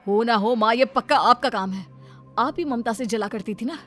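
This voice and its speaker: A woman voicing a reproachful young husband in an animated moral story, speaking firmly to his mother. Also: on the high side, moderate pace, soft background music, pitched above the performer's own voice, close to an everyday voice. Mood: angry accusation.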